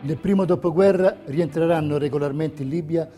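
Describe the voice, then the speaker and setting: A man's voice: fairly high pitch, fairly quick. A man reading scripted historical narration as a documentary theatre narrator. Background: music under the voice.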